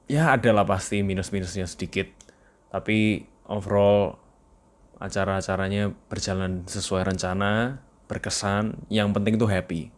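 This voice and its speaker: A young man with an East Javanese Indonesian accent, chatting casually in a video journal, slipping in English phrases and laughing now and then. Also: lowish voice. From East Java. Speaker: vlogger.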